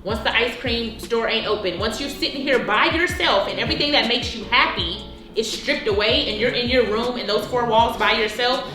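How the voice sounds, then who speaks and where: A woman's voice: on the high side, moderate pace. An American woman in her thirties in a casual chat between friends, speaking emphatically and repeating phrases for weight. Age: 30s. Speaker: host. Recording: echoey room, music underneath.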